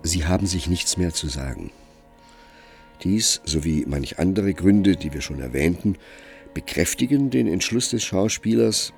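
A man's voice: sits low, gravelly. A man narrating a literary radio drama in scripted storytelling style.

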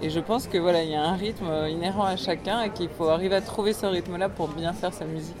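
A woman's voice: lowish voice, steady tone, quick pace, crowd noise. A woman musician and radio host giving a casual interview, thinking aloud with many fillers and restarts. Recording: noisy street recording.

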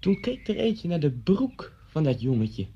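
A man's voice: fairly high pitch, big pitch swings, measured pace, slight background noise. An actor playing an intense, brooding man who confronts his old schoolmaster with vivid, pointed storytelling in a Dutch radio play. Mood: insistent, vivid. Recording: dull lo-fi radio play recording.

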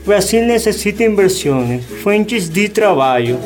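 An actor plays a smooth, evasive agent in a radio drama. His voice is high for a man, with music clearly playing behind. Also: big pitch swings, measured pace. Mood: persuasive. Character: smooth-talking, evasive agent of foreign interests. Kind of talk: radio play acting.

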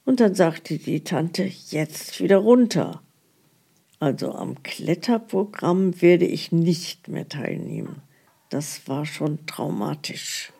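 Grumpy old lady patient, radio play, plaintive n creaky, drags her words out, mellow tone. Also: lowish voice, animated, full character voice. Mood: disappointed, plaintive. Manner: slow, put-upon grumbling. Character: aggrieved elderly woman patient, plaintive, fussy complainer.

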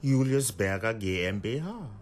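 An actor playing a worldly, cynical Nigerian lawyer in a radio drama, sardonic, knowing and theatrical. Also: male voice, on the low side, lively intonation, a little slow. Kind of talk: German radio drama acting.

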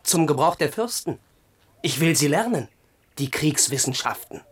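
An actor playing a young crown prince, sarcastic and brooding, in a 1970s radio play. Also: male voice, on the high side, animated, moderate pace, slightly rough.

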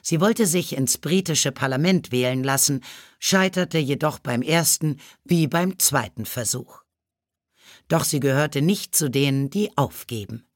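Measured narrator with a dry studio sound. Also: medium pitch, no echo. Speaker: audiobook narrator. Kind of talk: scripted storybook biography narration.

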